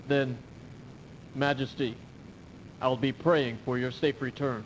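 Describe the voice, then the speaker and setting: An actor playing a loyal, deferential military aide, giving formal reports in a video game cutscene. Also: male voice, medium pitch, little pitch movement, slow, lightly acted. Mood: respectful. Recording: faintly noisy 1990s game audio.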